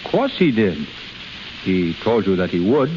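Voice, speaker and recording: Intense low-voiced healer, old radio. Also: male voice, big pitch swings, a little mellow, a little hiss or hum. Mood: wry, gentle. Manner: halting, emphatic, repeats phrases. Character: intense, commanding, tender male healer to an invalid woman. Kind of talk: 1930s radio drama acting. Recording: muffled 1930s radio transcription, music behind.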